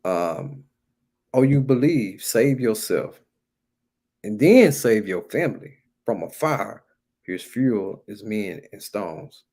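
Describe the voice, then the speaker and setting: Low-voiced American man giving an informal sermon. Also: animated, slow, warm tone, husky. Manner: emphatic, repeats key phrases, colloquial. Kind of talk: informal sermon, exhorting talk.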